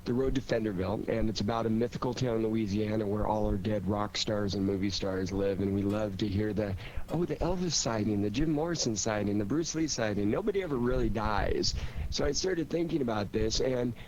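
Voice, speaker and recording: A man's voice: fairly low pitch, a little fast, dull audio. A man talking casually and enthusiastically as a radio theater director on a panel. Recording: clear steady background noise.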